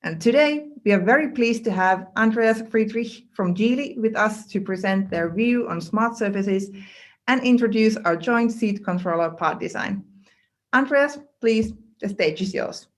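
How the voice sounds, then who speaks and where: A woman's voice: medium pitch, animated, measured pace. A woman hosting an online industry event, delivering a polished scripted introduction of a guest. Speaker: event host.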